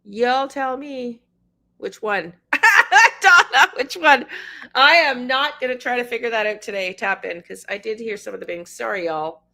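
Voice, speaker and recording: A woman's voice: fairly high pitch, expressive, unhurried, gravelly, pushed and bright. A middle-aged woman, hosting a casual livestream, reading news aloud and chatting with viewers by name. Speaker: livestream host.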